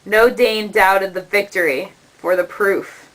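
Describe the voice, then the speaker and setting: A woman giving a measured, dramatic narration of an epic poem as the storyteller of a puppet show. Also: medium pitch, fairly even intonation, a bit bright, low-quality recording.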